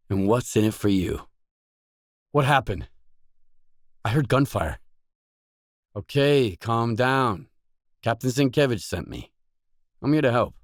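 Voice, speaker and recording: An actor voicing a gruff, guarded lone stalker for hire in a video game, speaking in terse, dry, self-assured lines. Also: male voice, medium pitch, no echo. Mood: wary, then calm, firm.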